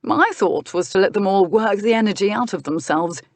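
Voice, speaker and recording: A woman's voice: mid-range voice, moderate pace, no room sound, lightly acted. A woman voicing a wry, easygoing, gossipy earl who is a reluctant magistrate, in a historical romance audiobook. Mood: amused.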